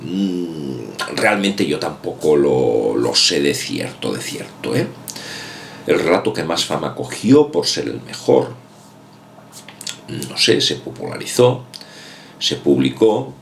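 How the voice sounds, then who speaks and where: A man's voice: extremely low pitch, sing-song, slow, gravelly, pauses often, slight background noise. A man giving a casual, rambling book commentary, hedging often and repeating himself. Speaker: book vlogger.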